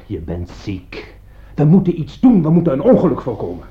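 A man's voice: fairly high pitch, wildly swooping pitch, normal speed. An actor playing an indignant, sceptical man of the law in a radio play, scornful and moralizing. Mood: alarmed, indignant. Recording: dull, muffled old radio play recording.

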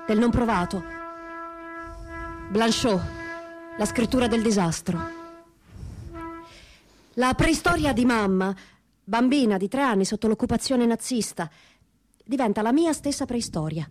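A woman giving a solemn, measured dramatic reading of a literary text. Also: on the high side. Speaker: stage reader. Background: wind instrument music.

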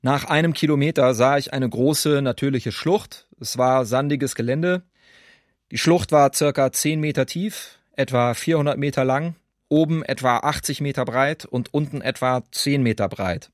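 A detached perpetrator played by a man testifies in a medium-pitched voice. He is precise and matter-of-fact, with the dry sound of a documentary radio drama. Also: normal speed, no echo, lightly acted. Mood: detached, factual.

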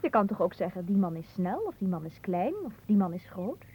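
A woman's voice: medium pitch, big pitch swings, a little fast, mellow, slightly rough. An actress playing a capricious, haughty young woman in a radio play, teasing and theatrical, with a dreamy storytelling way. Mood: condescending. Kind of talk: Dutch radio play acting.

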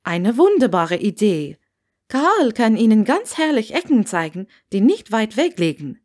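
A woman's voice: a little high, sing-song, a little slow, darkish tone. A woman voicing a plump, grey-haired, talkative innkeeper's wife in a storybook reading, gushing and enthusiastic. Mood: effusive, chatty. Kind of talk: audiobook character dialogue.

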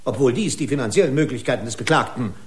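An actor playing a pedantic, accusing corporate lawyer in a German radio drama, speaking in formal, pompous legal style. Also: male voice, mid-range voice, animated, quick pace, natural voice. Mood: pedantic, indignant.

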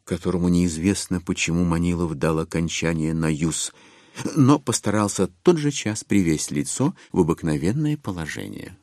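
An actor as the narrator of an audio drama, telling the story in a wry, deliberate literary manner. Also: male voice, low-pitched, animated, darkish tone, no echo, studio quality. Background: music.

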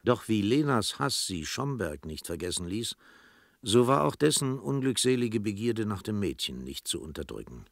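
A man's voice: lowish voice, sing-song, normal speed, vocal fry, no echo, clean studio sound. A man giving scripted literary narration as the narrator of a German radio play. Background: music.

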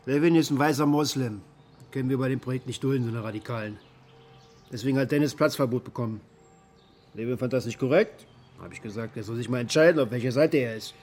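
An actor playing a bitter, middle-aged former security contractor in a naturalistic radio drama, gruff, cynical and colloquial. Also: male voice, medium pitch, quick pace, darkish tone, soft background music, natural voice. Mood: distrustful.

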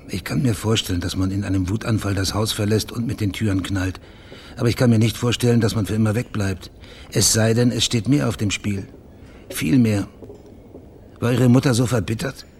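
An actor playing a probing, quietly insistent private investigator in a German radio crime drama, speaking in a measured, matter-of-fact way. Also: male voice, on the low side, a little hiss or hum, natural voice. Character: probing, plain-spoken male private investigator named Max, quietly insistent.

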